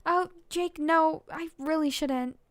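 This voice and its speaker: Bashful, brainy schoolgirl for a romance story, played by a woman reader; high, expressive, dry recording. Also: slow pace, no room sound. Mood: sheepish.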